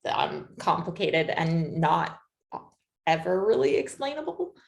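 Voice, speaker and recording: A woman's voice: lowish voice, sing-song, unhurried, vocal fry. A woman in her late thirties interviewing a guest, thinking aloud and searching for words as she asks reflective questions. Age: late 30s. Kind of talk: reflective conversation.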